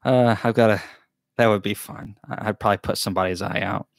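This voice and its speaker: A man's voice: lowish voice, fairly even intonation, brisk, vocal fry, no echo, clean studio sound. A man, hosting a live beekeeping Q&A from a small wood-paneled room, rambling and chatty with frequent restarts. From Tennessee, US. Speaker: beekeeping channel host. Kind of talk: live Q&A chat. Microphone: desk mic close to camera.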